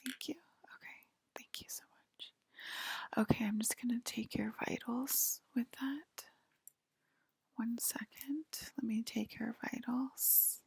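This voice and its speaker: A woman softly whispering an ASMR roleplay to the listener as a polite, attentive alien abductor, pausing often. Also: on the high side, fairly even intonation, gravelly, breathy edge, no room sound. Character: polite, attentive female alien abductor queen acting as medical examiner. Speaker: ASMR performer.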